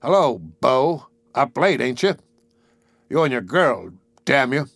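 A man voicing rowdy, hostile drunken soldiers in a story, with a loud, jeering, slangy manner. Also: mid-range voice, big pitch swings, slow pace, vocal fry, no room sound, full character voice.

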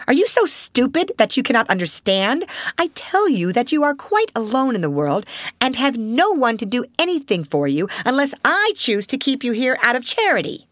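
A woman's voice: medium pitch, wildly swooping pitch, moderate pace, fairly bright tone, no echo, pitched above the performer's own voice. A woman voicing a stern, domineering middle-aged headmistress who scolds coldly and harshly. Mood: scolding.

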